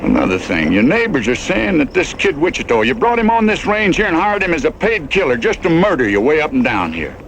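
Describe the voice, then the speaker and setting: Creaky, animated Western rancher played by a man. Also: on the high side, fairly quick, few pauses, slight background noise, heavily acted, poor audio. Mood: concerned. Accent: American Western. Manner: folksy, earnest, rustic speech. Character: plain-spoken, worried male rancher neighbor, warning a friend. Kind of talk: Western drama acting.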